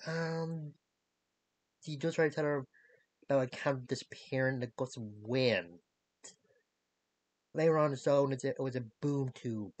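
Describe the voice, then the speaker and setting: A young adult man giving a casual recap in a halting, disjointed way, searching for words. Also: medium pitch, dry recording. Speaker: reviewer of animated series. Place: small cluttered bedroom, indoors.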